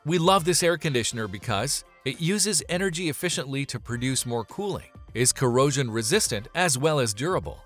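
A man's voice: medium pitch, expressive, fairly quick, few pauses, fairly bright tone. A man reading a scripted product review as voice-over, upbeat and emphatic on transitions. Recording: voice-over with faint background music.

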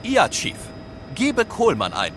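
Quirky clipped police officer. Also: male voice, huge pitch swings, takes it slowly, exaggerated voice. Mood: dutiful. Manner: clipped phrases narrating his own actions. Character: odd male police officer announcing his own actions. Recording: rumbling vehicle noise behind.

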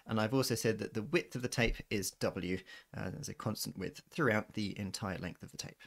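A man giving an informal physics lesson, working through a derivation in a measured, methodical way. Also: fairly low pitch, gravelly. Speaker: physics tutor. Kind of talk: informal maths lecture, explaining a worked derivation. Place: voice-over on a handwritten screen recording.

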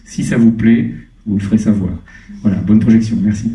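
A man's voice: lowish voice, steady tone, fairly quick, dark tone, distant mic. A middle-aged man speaking onstage with a handheld microphone at a film screening, talking casually to the audience with many restarts and fillers. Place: large cinema hall, on stage. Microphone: handheld microphone over the hall PA. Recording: echoey hall heard from afar.

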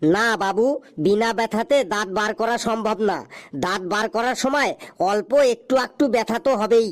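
An actor playing a sarcastic, exasperated doctor in an animated comedy sketch, with a theatrical, scolding delivery. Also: mid-range voice, moderate pace, lightly acted. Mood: explaining. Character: exasperated, sarcastic cartoon doctor fed up with silly patients.

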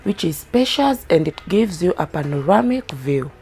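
A woman's voice: on the low side, sing-song, moderate pace. A woman from Kenya narrating a house tour voice-over, chatting to viewers about each room. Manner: friendly, chatty commentary addressing viewers. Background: background music.

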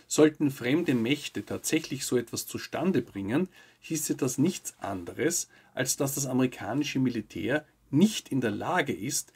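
A man in his fifties presents scripted news commentary to camera. His voice is creaky and husky, at a medium pitch. He speaks in measured, formal sentences, laying out his points in order. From Austria. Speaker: host of a weekly UFO news show.

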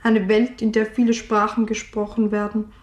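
A woman's voice: medium pitch, completely flat delivery, normal speed, darkish tone, reverberant. A woman from Austria reading out a prepared literary text.

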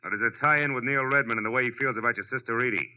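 An actor playing a tough, wisecracking American private detective, narrating and talking in slangy, wry 1940s radio style. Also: male voice, medium pitch, racing, sharp tone. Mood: cool, needling. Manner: hard-boiled, slangy, wry. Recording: dull old-time radio recording.

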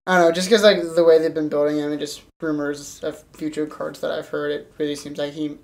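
A woman's voice: sits low, level tone, fairly quick, darkish tone. A woman co-hosting a wrestling podcast, giving her opinions casually.

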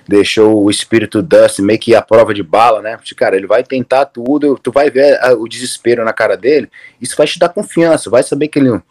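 A man's voice: medium pitch, quick pace, few pauses. A man from Brazil in his thirties, a guest on a video-call interview, talking casually with lots of restarts and fillers. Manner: relaxed, rambling with many restarts and fillers. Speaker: interview guest, MMA coach. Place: room at home, indoors.

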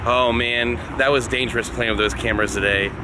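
A man's voice: mid-range voice, fairly even intonation, brisk, noisy background. A man in his thirties, a fellow vlogger, chatting in a friendly joking way outdoors at night. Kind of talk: casual chat. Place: outdoor parking lot at night. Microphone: another person's handheld camera.

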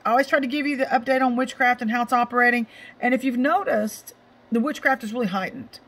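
Middle-aged woman, ministry host. Raspy, slightly high voice, emphatic and informal, hammering phrases by repetition. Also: a bit bright. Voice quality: scratchy. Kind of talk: informal devotional exhortation.

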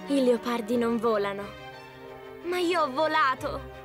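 A woman voicing a dreamy, earnest young male leopard cub in an animated cartoon. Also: sits high, measured pace, over music. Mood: excited. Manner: wide-eyed, earnest.

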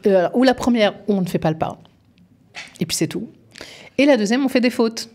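A woman's voice: on the high side, animated, fairly quick, a little mellow. A woman in her fifties, an employment advisor, explaining things earnestly and persuasively in a radio studio interview. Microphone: studio microphone.

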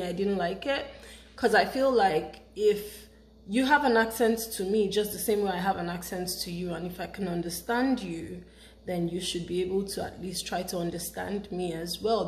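A young woman from Nigeria with a Nigerian English accent touched by British English, chatting animatedly to the camera in a vlog at home. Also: fairly low pitch. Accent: Nigerian English with British influence. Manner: animated, chatty, gestures with hands. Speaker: vlogger. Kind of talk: casual vlog talk to camera. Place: home kitchen, indoors. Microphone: camera on a counter, close. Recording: echoey room with faint ambience.

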